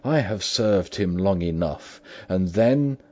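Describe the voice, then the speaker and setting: A man's voice: sits low, lively intonation, slow, darkish tone, voice pushed up, exaggerated voice. A man voicing a frightened, loyal old butler who speaks urgently and pleads emphatically. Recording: dull lo-fi recording.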